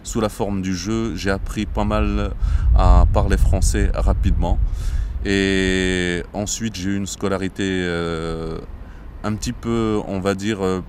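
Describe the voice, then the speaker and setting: Man in his thirties, from Morocco, low voice, measured and hesitant, faint outdoor traffic behind. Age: 30s. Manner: measured, with frequent hesitations and restarts. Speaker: border police captain. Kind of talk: interview monologue.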